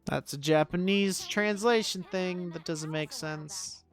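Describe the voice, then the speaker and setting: A woman's voice: on the low side, slow pace. A woman game streamer giving casual, wry commentary and talking back to the game. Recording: faint game music behind voice.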